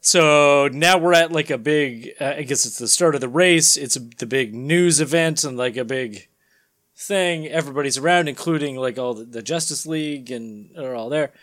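A man's voice: on the high side, moderate pace, fairly bright tone. A man hosting and narrating a comedic dramatic reading, mixed with casual banter. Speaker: podcast host and narrator.

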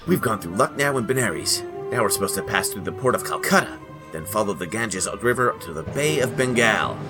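A man's voice: fairly low pitch, lively intonation, fairly quick, over loud music. A man co-hosting a comedy dramatic reading, acting out lines and bantering between them. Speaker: podcast co-host reading character parts.

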